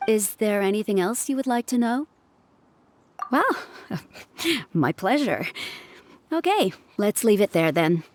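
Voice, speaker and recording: An actress playing a composed, caring ship's surgeon in a video game, explaining things in a measured, polite, cautioning way. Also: female voice, medium pitch, sing-song, a little hiss or hum. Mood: gentle, reassuring. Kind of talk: scripted video game dialogue.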